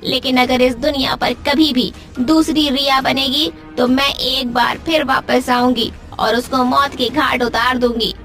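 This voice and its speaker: A woman's voice: high-pitched, steady tone, unhurried, few pauses, a bit bright. A woman voicing a vengeful female ghost witch in a cartoon, speaking in solemn, threatening declarations. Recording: background music.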